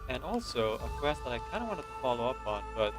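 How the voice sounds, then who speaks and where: A male streamer with a mid-pitched voice, commenting casually on a game. He muses aloud as he goes, and music plays clearly behind him. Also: big pitch swings, quick pace.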